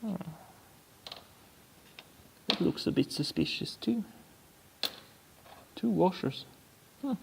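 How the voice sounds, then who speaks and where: Slow, gravelly Swedish man in a workshop. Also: high-pitched, lively intonation, in a large hall, background noise. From Sweden. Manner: halting, many ums and uhs, dry humor. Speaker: DIY car repair vlogger. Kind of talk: casual workshop commentary.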